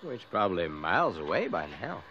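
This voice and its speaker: A man's voice: lowish voice, sing-song, fairly quick. An actor playing an alert, businesslike crewman in a 1960s puppet adventure. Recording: noisy dull old soundtrack.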